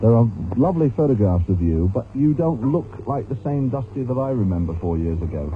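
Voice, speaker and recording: A man's voice: medium pitch, animated, brisk. A British man hosting a live radio interview, with wordy, jokey announcer phrasing. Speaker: radio programme host. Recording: tinny band-limited old radio broadcast, hiss.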